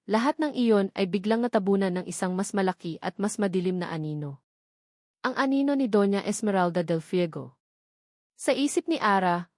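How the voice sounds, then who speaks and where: A woman's voice: mid-range voice, a little mellow, no room sound. Dry-sounding woman narrating melodrama. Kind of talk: dramatic scripted story narration.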